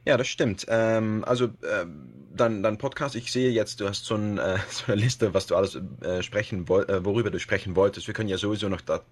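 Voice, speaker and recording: A man's voice: on the low side, steady tone, brisk, few pauses. Hesitant Dutch man speaking German. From Netherlands. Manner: hesitant, many fillers and restarts, laughs often. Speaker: podcast guest, web radio host. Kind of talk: casual podcast interview.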